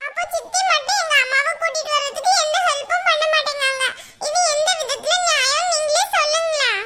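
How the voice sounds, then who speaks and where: A woman's voice: very high-pitched, fairly quick, bright, forceful tone. A woman voicing a chatty little girl who eagerly tattles to her grandmother. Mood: tattling.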